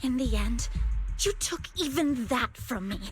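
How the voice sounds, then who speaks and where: A woman playing a bitter, grieving young woman in a video game, speaking in a measured, wounded, accusatory way. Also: high-pitched, animated, soft background music. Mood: anguished, resentful. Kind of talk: dramatic video game voice acting.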